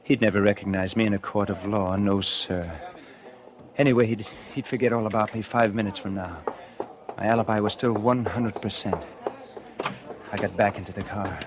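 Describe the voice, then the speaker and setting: A man's voice: on the low side, fairly even intonation, normal speed, background noise, natural voice. An actor playing a guilty, scheming man who confides his crime in 1940s radio suspense style. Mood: uneasy, calculating. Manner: confessional first-person narration. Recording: vintage 1940s radio with loud music.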